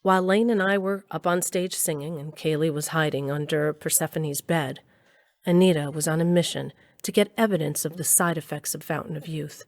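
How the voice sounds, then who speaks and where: A woman's voice: low voice, steady tone, a little fast, no room sound. An actress playing a nostalgic woman scientist who narrates an audio drama in a dramatic first-person storytelling manner. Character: woman scientist Grace, wife and mother, nostalgic ex-rocker.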